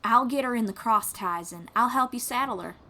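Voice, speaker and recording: Folksy, higher-voiced traveling bard woman, acted. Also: fairly quick. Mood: patient, instructive. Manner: encouraging, reassuring, gently guiding. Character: friendly young female traveling bard who teaches riding. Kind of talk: second-person audio roleplay acting.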